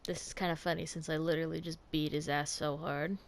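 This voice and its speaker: A woman's voice: deep voice, little pitch movement, fast. A woman streaming her reaction to a game story, with casual, informal, slangy commentary. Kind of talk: casual live gameplay commentary.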